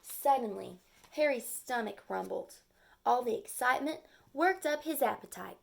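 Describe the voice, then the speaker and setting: A woman's voice: a little high, lively intonation, moderate pace, creaky. A woman reading and explaining a children's story expressively, in simple phrases aimed at young listeners.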